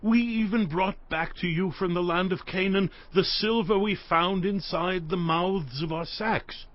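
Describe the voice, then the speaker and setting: A man's voice: high voice, unhurried, dry recording. An actor playing an earnest ancient servant indignantly protesting his innocence in a dramatized scripture recording. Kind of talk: dramatized scripture audio acting. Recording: dry, slightly dull studio recording.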